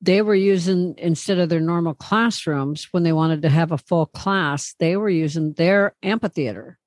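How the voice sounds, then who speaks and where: A woman's voice: lowish voice, moderate pace, no room sound. Chatty middle-aged woman, dry sound. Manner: chatty, rambling storyteller, teasing. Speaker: podcast co-host. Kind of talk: casual chat.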